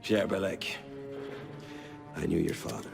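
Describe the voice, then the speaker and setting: An actor playing a gruff, hard-drinking older assassin mentor in a video game, sardonic and coarse, with a gravelly voice. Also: male voice, deep voice, measured pace, music in the background. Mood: wry, secretive. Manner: sardonic, coarse-tongued, theatrical.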